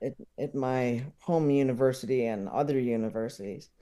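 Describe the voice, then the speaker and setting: A man chatting casually as a podcast guest, talking in a halting, rambling way. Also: mid-range voice, mellow. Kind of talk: casual interview chat.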